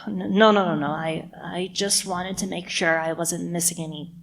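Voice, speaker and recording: A woman's voice: lowish voice, fairly even intonation, normal speed, creaky, slight background noise. A woman playing a nervous, apologetic cleaner in a radio play, stammering and deferential.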